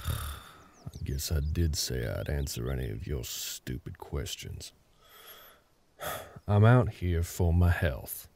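A very deep, creaky-voiced older cowboy acted by a man in an intimate ASMR roleplay. His tone is dark and mellow, with a terse drawl. He comes across as gruff and guarded, with care underneath. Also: heavily acted. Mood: irritated, weary. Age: middle-aged. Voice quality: low, gravelly. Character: gruff older cowboy ranch hand, guarded but caring.

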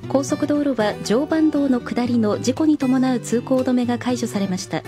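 Female traffic reporter, fairly high voice, scripted radio bulletin over plucked guitar music. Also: moderate pace.

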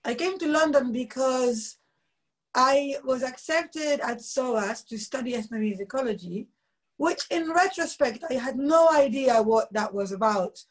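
A woman in her thirties from Luxembourg with a husky voice, telling stories animatedly with frequent laughs over a video call from home. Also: on the high side, rough recording. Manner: animated storytelling, laughs often. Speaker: musician guest. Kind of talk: casual video call interview. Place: home room, indoors.

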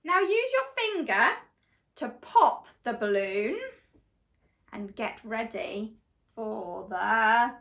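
A young woman reading a picture book aloud to small children in an animated, expressive, deliberate storytelling manner. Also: fairly high pitch, vocal fry. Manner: exaggerated, expressive, slow and deliberate delivery to children. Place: small living room, on a sofa indoors.

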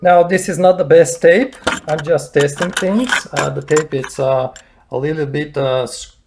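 A man giving a casual, unscripted how-to demonstration in a halting, hesitant way, searching for words as he goes. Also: fairly high pitch, steady tone, vocal fry, background noise. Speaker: hobbyist demonstrator. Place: indoors at home beside hi-fi equipment.

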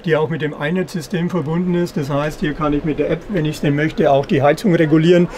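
A middle-aged man explaining product features in an interview, slightly halting and repeating words. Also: on the high side, steady tone, darkish tone. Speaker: caravan company representative. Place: caravan at an indoor trade fair. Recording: clear background noise of busy trade fair.

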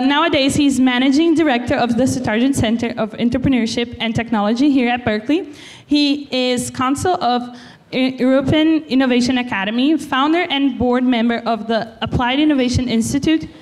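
A woman's voice: a little high, darkish tone. Student woman reading an introduction. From Brazil. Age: 20s. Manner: careful, reads from notes with fillers. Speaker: student event presenter. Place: lecture hall podium. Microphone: handheld mic.

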